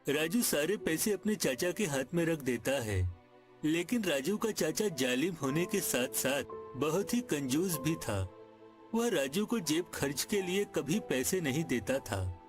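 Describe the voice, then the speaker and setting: A man's voice: fairly high pitch, big pitch swings, a little slow, over music. A man narrating a scripted children's story.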